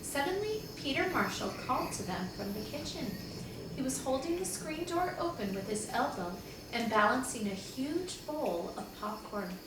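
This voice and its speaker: A woman's voice: sits high, normal speed. A woman reading a children's chapter book aloud like a teacher to her class, expressively, with small asides to listeners. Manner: expressive, acts out dialogue with asides. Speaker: second-grade teacher reading aloud to pupils. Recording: echoey, distant mic, noticeable background noise.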